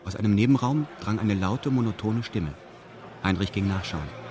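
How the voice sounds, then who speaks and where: Actor as a low-voiced, detached male narrator. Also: moderate pace, over music, natural voice. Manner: formal, detached, meticulous. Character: dry, meticulous male first-person narrator. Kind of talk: scripted radio play narration in reported speech.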